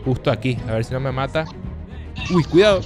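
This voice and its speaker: A young man from Venezuela doing casual, jokey gaming commentary into a boom mic in a small home studio. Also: a little fast, over music. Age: young adult. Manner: excitable, jokey, then chatty with teammate. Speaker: gaming youtuber. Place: small bedroom studio indoors. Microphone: boom mic with headphones.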